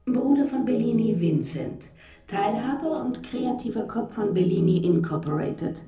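A woman's voice: mid-range voice, lightly acted. A woman playing a polite, alluring library computer that reads out information formally in a radio drama. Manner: formal, measured, courteous. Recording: echoey, music behind.

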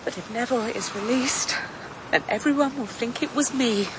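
Brief: woman as a shaken, soft-spoken young woman, high voice, ambience behind. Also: moderate pace, noisy background, lightly acted. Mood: anxious. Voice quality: soft and fragile. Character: fragile, anxious young woman. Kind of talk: radio drama acting.

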